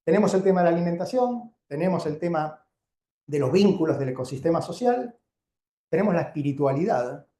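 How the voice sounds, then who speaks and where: Male Argentine lecturer, informal and explanatory, mellow timbre, clearly reverberant room. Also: fairly high pitch, expressive, fairly quick, slight background noise. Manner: conversational, didactic, frequent check-in questions. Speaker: doctor giving a keynote lecture. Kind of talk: informal public lecture to a live audience. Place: indoor lecture venue before an audience. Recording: roomy, echoing live lecture sound.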